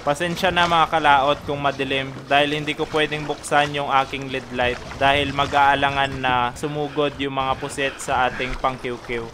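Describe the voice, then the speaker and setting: A man's voice: mid-range voice, little pitch movement, normal speed, few pauses. A man from the Philippines casually narrating a fishing trip to his viewers, explaining each step plainly from a small boat at sea. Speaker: fishing vlogger. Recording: wind and boat noise behind.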